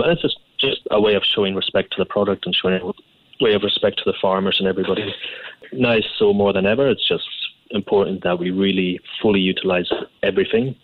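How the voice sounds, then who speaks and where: A man's voice: deep voice, no room sound, narrow, tinny audio. A Scottish man, an executive chef, answering questions conversationally in an interview. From Scotland.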